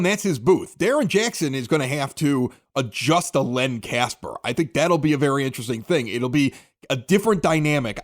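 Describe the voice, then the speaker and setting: A man hosting a casual sports talk show, speaking in an opinionated, emphatic, conversational way. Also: a little high. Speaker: sports podcast host.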